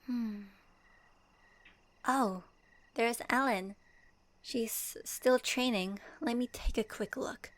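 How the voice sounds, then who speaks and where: Woman playing a slow-spoken, gentle young traveler heroine. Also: fairly high pitch, no room sound. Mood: curious. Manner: soft, reflective, addresses listener directly. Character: gentle young female traveler swordswoman, thoughtful and wistful. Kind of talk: soft fan audio roleplay, ASMR.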